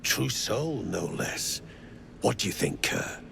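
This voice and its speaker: Greedy fantasy game thug: heavy rasping fry, animated delivery, rough taunting slang, music underneath. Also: male voice, on the low side, slow, noisy background, full character voice. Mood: menacing, taunting. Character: menacing, greedy, crude mercenary slave-driver. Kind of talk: video game voice acting.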